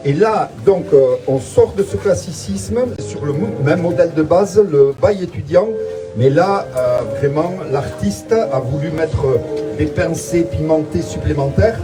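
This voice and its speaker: A man's voice: on the high side, measured pace, over music, rough recording. A man leading a tongue-in-cheek guided tour outdoors, talking in a mock-solemn way and hesitating often. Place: outdoors in front of a museum.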